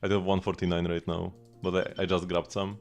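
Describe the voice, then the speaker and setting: A man's voice: sits low, little pitch movement, fast, a little mellow. A man live streaming a video game, chatting casually and informally as he rambles along and answers viewers. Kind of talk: casual gaming stream chat. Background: game music.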